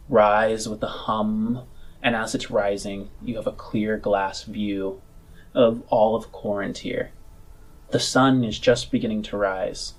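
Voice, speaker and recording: A man's voice: on the low side, husky, low-quality recording. A man narrating a tabletop game as game master, improvising vivid, savored descriptions.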